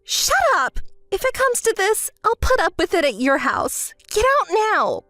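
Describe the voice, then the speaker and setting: A woman voicing a spoiled, entitled young woman who is hysterical and self-pitying, with a shrill, whiny way of talking. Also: lively intonation, measured pace, no echo, studio quality. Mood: furious. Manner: shrill, whiny and pleading, flaring into shouting. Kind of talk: dramatized story voice acting.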